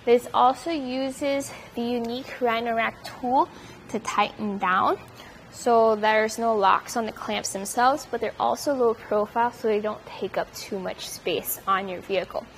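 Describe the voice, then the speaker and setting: A young woman walks through a product demonstration in an upbeat, explanatory way, her voice sitting a little high. She handles the gear as she talks in a large, bright indoor studio, picked up by the camera mic. A faint hum of room ambience sits underneath. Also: steady tone, a little slow. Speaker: product reviewer.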